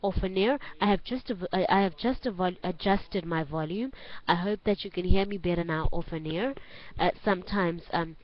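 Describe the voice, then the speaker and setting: Dull lo-fi call audio, woman, South African accent, online English teacher, clear instructions, repeated phrases. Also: on the low side, normal speed. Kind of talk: online group lesson.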